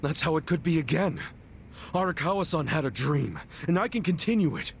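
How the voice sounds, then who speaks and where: An actor playing a loyal, earnest middle-aged ex-yakuza hero in a video game, talking in a blunt, heartfelt, streetwise way. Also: male voice, on the high side, very animated, a little fast, creaky, a little hiss or hum. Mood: earnest, resolute. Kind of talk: video game cutscene dub acting.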